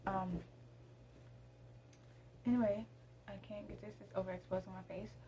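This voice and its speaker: A woman's voice: medium pitch, flat delivery, normal speed, mellow, slight background noise, poor audio. A teenage girl vlogging at home, chatting casually to her camera, rambling with lots of ums. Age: teens. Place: room at home.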